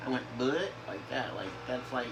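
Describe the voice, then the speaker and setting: A young man chats loosely while he plays, stumbling over words and circling back with "you know" again and again. His voice sits at an ordinary middle pitch, and loud car and engine noise from the game runs underneath him. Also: animated. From northern United States. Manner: halting, many fillers and restarts. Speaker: gaming YouTuber. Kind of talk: rambling casual commentary over gameplay.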